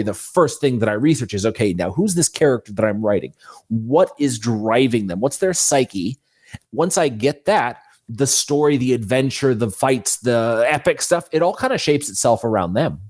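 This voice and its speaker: A man speaking as an interview guest, telling his own story casually and candidly, acting out quoted thoughts as he explains. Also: mid-range voice. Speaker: guest author.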